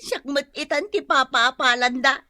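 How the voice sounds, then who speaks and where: A woman acts out an envious, sharp-tongued daughter in an Ilocano comedy radio drama. Her voice is very high and piercingly bright. She speaks with an Ilocano accent and sneers freely. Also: a little slow, dry recording, clean studio sound, heavily acted. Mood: envious, miffed.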